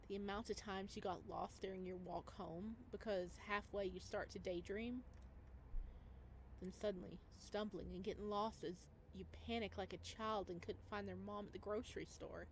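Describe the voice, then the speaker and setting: A woman's voice: mid-range voice, moderate pace, a little hiss or hum. A woman reading visual novel narration aloud as a let's player, expressively, with chatty casual asides. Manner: expressive reader who breaks into chatty asides. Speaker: video game let's player. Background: background music.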